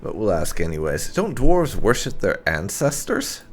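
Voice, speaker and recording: A man's voice: on the low side, huge pitch swings, normal speed, a crowd in the background. A man giving casual gameplay commentary with light roleplay, musing and murmuring to himself. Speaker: gaming channel host, player.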